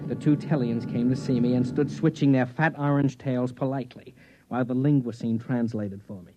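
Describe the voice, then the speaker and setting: An actor playing a nervous, exasperated space explorer in a 1950s radio drama, with wry narration and dialogue. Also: male voice, medium pitch, steady tone, a little fast, background noise, close to an everyday voice. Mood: wry, weary. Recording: dull vintage radio recording.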